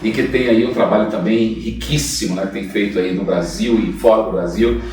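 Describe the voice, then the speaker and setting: A man's voice: on the low side, a little fast, darkish tone. Male church podcast host, chatty and affirming; distant mic, heavy room echo. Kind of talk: podcast interview.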